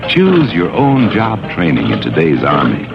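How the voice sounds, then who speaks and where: A man's voice: extremely low pitch, huge pitch swings, measured pace, vocal fry, over loud music. An American man reading a vintage radio recruitment advertisement. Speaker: advertising announcer. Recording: dull vintage radio recording.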